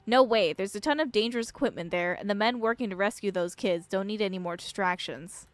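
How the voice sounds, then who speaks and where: A woman voicing a gruff, suspicious striking miner in a visual novel, blunt and dismissive. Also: medium pitch, brisk, dry recording, lightly acted. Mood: firm, suspicious.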